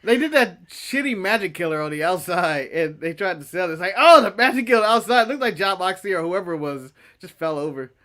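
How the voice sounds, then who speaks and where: Bright-voiced joking male podcast co-host. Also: high voice, a little fast, few pauses. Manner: joking, animated, laughs often. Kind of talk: casual wrestling review chat.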